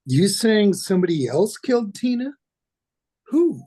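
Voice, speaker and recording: A man reads as a worn police lieutenant in a horror drama. The voice is mellow and unforced, casual on top and on edge underneath. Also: high-pitched, big pitch swings, a little slow, exaggerated voice. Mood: edgy, gruffly casual. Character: worn, edgy, nonchalant police lieutenant father. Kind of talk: script table read of a horror film.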